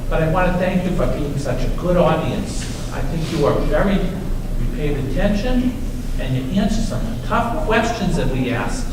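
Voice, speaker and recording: High-voiced man addressing kids in echoey hall. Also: animated, normal speed. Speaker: puppet show presenter. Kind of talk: closing thanks to a school audience. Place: large echoey room, live audience of schoolchildren. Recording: echoey, noisy hall from far off.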